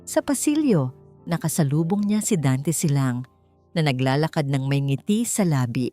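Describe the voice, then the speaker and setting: A woman with a Filipino accent narrating a scripted dramatic story in an expressive, theatrical manner. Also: low voice, moderate pace, soft background music. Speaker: audiobook narrator.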